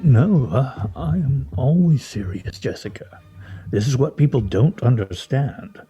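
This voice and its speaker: A pompous, sardonic man played by a male actor, very dark and soft in tone, with a husky edge. He declaims ornately, swinging widely in pitch like a stage orator in a radio drama. The recording is somewhat muffled. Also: animated, measured pace, soft background music. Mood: teasing. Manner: grandiose, mock-serious, ornate diction. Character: pompous, sardonic, theatrical man at a scrabble game. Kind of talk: radio play acting.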